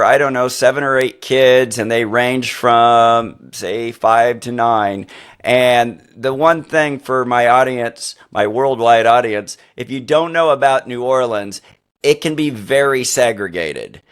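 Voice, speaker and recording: A man's voice: mid-range voice, slow pace, smooth. Middle-aged man telling rambling stories. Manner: rambling, animated storytelling with restarts. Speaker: podcast host, former teacher. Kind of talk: conversational interview.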